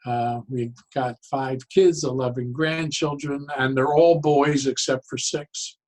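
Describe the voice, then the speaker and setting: A man in his sixties or older chatting as an interview guest, halting and reflective, often pausing mid-sentence. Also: mid-range voice, fairly even intonation. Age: 60s or older. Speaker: podcast guest, psychologist and humor speaker. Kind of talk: casual interview chat.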